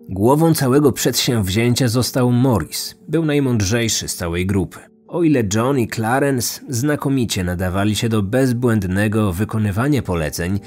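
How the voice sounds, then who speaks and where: A man's voice: mid-range voice, darkish tone. A man narrating a scripted true crime story as a podcast host. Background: background music.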